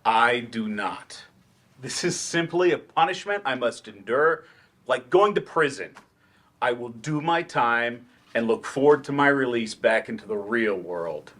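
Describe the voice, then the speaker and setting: A man's voice: mid-range voice, animated, unhurried, fairly bright tone. A man reading the part of a dejected, sarcastic writer turned teacher in a staged play, dry and wordy. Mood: sardonic. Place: library event room, staged reading.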